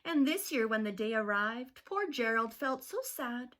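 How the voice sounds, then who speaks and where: A woman teacher reading a rhyming picture book aloud to children, slow and expressive. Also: a little high.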